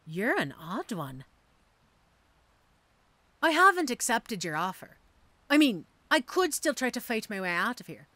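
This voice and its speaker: Female mercenary assassin for fantasy audio drama, acted by a woman: wry, teasing, street-hardened, very animated pitch, close dry recording. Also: no echo. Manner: teasing, theatrical, sardonic. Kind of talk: audio roleplay drama acting.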